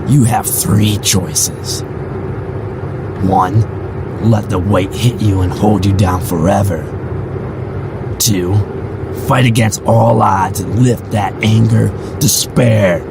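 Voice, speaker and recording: A man's voice: lowish voice, takes it slowly, creaky, very noisy. A man voicing a bitter, ominous faceless man in an audio drama, speaking in preachy philosophical monologues. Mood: grim, preachy.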